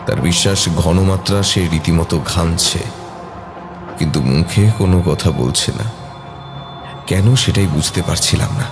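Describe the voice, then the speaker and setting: Deep-voiced man narrating horror. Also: a little slow, long pauses, over music. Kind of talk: scripted horror story narration.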